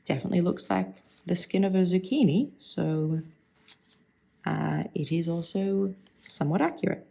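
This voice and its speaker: Croaky woman, heavy vocal fry, casual rambling chat, thinking aloud. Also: lowish voice, normal speed, mellow. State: croaky voice today. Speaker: stationery vlogger.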